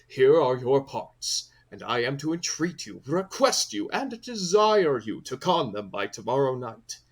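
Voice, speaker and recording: Fussy play director played by a man, animated pitch. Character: Peter Quince, fussy coaxing workman directing amateur players. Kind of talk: comic Shakespearean audio play acting.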